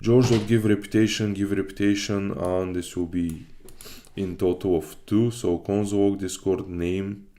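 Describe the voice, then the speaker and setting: Very deep-voiced man thinking aloud on stream. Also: steady tone, unhurried, dark tone. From Bulgaria. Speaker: live streamer learning web development. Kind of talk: casual livestream study commentary, reading aloud.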